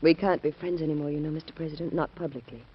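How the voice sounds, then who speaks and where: An actress playing a devoted, emotional young woman in a 1940s radio drama, speaking softly and earnestly. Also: low-pitched, fairly even intonation, racing, slightly rough. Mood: tender. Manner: soft, earnest, hesitant. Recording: muffled vintage radio broadcast.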